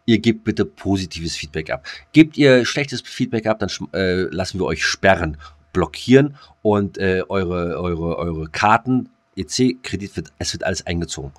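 A man's voice: sits low, expressive, moderate pace, dry recording, clean studio sound. A man co-hosting a podcast in casual banter, colloquial and teasing with a mock-serious streak. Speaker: podcast co-host.

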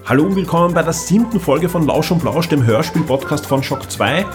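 A man's voice: a little high, lively intonation, a little fast, over music. A man with an Austrian accent hosting a podcast in a casual, chatty style. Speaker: podcast host. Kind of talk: casual chat.

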